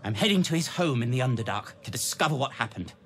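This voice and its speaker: Earnest wandering man, lively pitch. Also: fast talker, faint music behind. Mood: earnest, worried. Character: earnest, loyal male wanderer searching for a friend. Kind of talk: video game dramatic acting.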